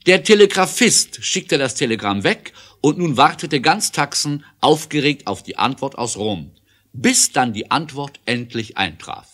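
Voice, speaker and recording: A man's voice: mid-range voice, expressive, measured pace, a bit bright. A man narrating a scripted adventure audio play in an emphatic, declamatory storytelling style.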